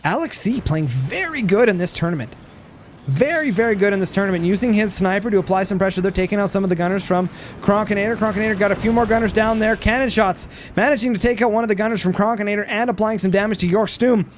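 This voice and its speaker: A man giving rambling play-by-play commentary on a strategy game, hoarse and losing his voice, full of fillers and restarts. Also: high voice, fast talker, a little hiss or hum.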